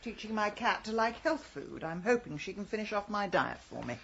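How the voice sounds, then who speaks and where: A witty, bossy English lady detective in a radio play. Her received-pronunciation voice is husky and swoops with arch irony, clipped and dry, on a slightly dull recording. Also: mid-range voice, very animated, fairly quick, fairly bright tone, full character voice. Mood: wry. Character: sharp, imperious, witty amateur detective woman, middle-aged. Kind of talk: radio drama acting.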